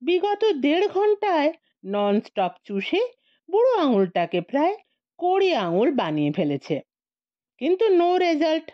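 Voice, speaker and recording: A woman's voice: medium pitch, dry recording, lo-fi sound. A woman narrating a scripted comic story in a theatrical, playful way, stretching words for emphasis. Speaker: audiobook narrator.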